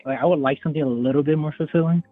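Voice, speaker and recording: A young man talks over a phone line, his voice pitched a bit high and squeezed thin by the call. He rambles casually, hedging most sentences with "like" and "I would say.". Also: racing, dry recording, soft background music. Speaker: caller.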